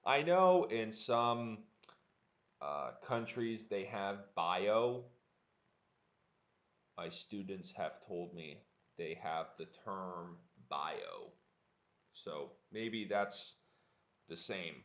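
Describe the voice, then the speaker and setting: A man teaching English on a livestream, speaking deliberately and repeating words for learners. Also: sits low, lively intonation, muffled recording. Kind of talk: live online language lesson, answering viewer chat. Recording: echoey room.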